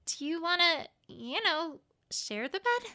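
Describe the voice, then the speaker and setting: A bright, forceful young female pony played by a woman with a high voice that moves in lively swings. She is a bashful bookworm from a fan audio drama, earnest and prone to halting, stammered phrases. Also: full character voice. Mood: flustered, bashful.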